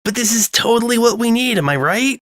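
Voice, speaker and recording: Man voicing a high, excitable teenage girl. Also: a little fast, dry recording, studio quality. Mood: blunt, upbeat. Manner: excitable, blurting, high-voiced.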